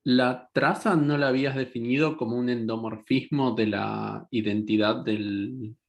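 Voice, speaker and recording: A man's voice: mid-range voice, fairly even intonation, measured pace, gravelly. Man asking a question from the audience. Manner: tentative, clarifying question.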